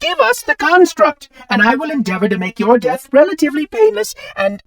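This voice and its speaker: Game robot: prissy high-voiced machine caretaker, sing-song, formal and pedantic, dry but set back from the mic. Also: male voice, wildly swooping pitch, a little fast, fairly bright tone, no room sound, distant mic, exaggerated voice. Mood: menacing. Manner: polite, formal, clipped and pedantic. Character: prim, fussy, chipper male robot caretaker AI. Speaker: game character. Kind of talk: video game voice lines.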